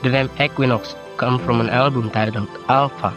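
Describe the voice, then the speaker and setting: A man's voice: mid-range voice, fairly even intonation, normal speed. A teenage male YouTuber from Indonesia with an Indonesian accent, speaking English as a second language in a reflective, self-deprecating personal narration. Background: game soundtrack music.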